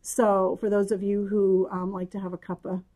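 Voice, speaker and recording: A New woman, slightly hoarse, co-hosting a casual chat about her yarn shop, rambling along with fillers. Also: mid-range voice, little pitch movement, normal speed, mellow. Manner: chatty, rambling with fillers and laughs. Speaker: yarn shop owner and podcast co-host.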